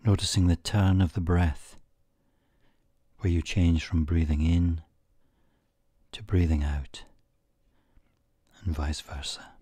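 A man leads a guided meditation in a deep, mellow voice. His delivery is very unhurried and soothing, in short measured phrases with pauses. The tone is soft and breathy, often fading toward a whisper. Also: steady tone, no room sound, studio quality.

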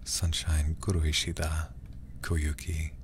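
A man livestreaming a game in a hushed, casual ASMR style, chatting close to the microphone. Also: extremely low pitch, level tone, slow, a little hiss or hum. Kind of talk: ASMR game stream chat.

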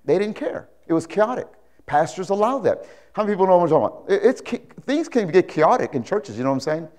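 An older man delivers a sermon in a high-set voice. He preaches emphatically, repeating key phrases and weaving in stories. He often turns to his listeners for agreement, speaking as a pastor on stage into a lapel mic. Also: sing-song, fast talker, a little mellow. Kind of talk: animated sermon with anecdotes. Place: indoor church stage, speaking to a congregation.

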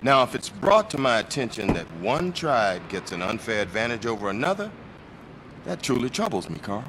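Theatrically swooping, smug corrupt American cop. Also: male voice, mid-range voice, sing-song, moderate pace, background noise. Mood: smug, menacing. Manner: mock-sincere, drawling taunts, theatrical. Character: smug corrupt middle-aged male police officer, sly and menacing. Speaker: police officer. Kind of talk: video game cutscene acting.

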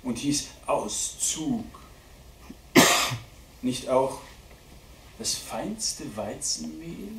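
A man giving a live dramatic poetry reading at a literary event, declaiming deliberately with strong shifts between hushed and loud delivery. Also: medium pitch, gravelly. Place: indoor literary event venue at a theatre. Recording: roomy live hall recording, distant mic, faint noise.

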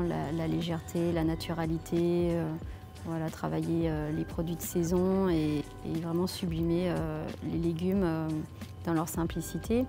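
A woman chef in her thirties, from France, talks through interview answers in a low-pitched voice. She pauses often on fillers and restarts her phrases. Soft music plays clearly under her voice. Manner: hesitant, many fillers and restarts. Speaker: head chef. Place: leafy outdoor restaurant courtyard. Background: soft background music.

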